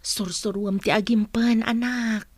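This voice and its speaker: A woman's voice: a little high, steady tone, unhurried, dry recording. A woman playing a worried, admonishing Ilocano mother in a village comedy radio drama. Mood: gently admonishing.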